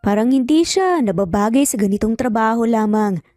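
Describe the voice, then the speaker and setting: A man's voice: sits high, animated, moderate pace, darkish tone, no room sound, studio quality, soft background music. A woman voicing an amused, curious and admiring wealthy male investor in an audio story.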